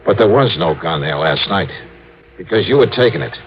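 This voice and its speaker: A tough, sure-of-himself male investigator in vintage radio style. His pitch swings widely as he presses his case in clipped phrases, on a dull old broadcast recording. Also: lively intonation, moderate pace, faint music behind. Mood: cool, accusing. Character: tough, sharp, self-assured male insurance investigator. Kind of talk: 1950s radio drama acting.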